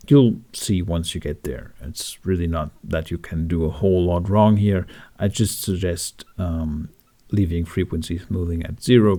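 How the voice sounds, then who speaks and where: A man talking through a software tutorial in a casual, informal way with wry asides. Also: deep voice. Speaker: tutorial host.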